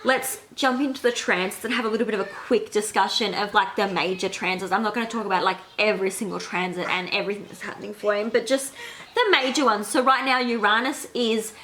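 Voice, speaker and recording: A woman's voice: medium pitch, fast, a bit bright, a little hiss or hum. A woman in her thirties with a cold that makes her sound nasal, casually explaining an astrology reading with many fillers. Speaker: astrology vlogger. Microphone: handheld camera.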